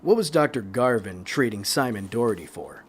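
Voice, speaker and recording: An actor playing a gentle but persistent male government investigator in an audio drama, measured and polite. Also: on the low side, animated, slightly rough. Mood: probing. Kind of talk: naturalistic audio drama acting.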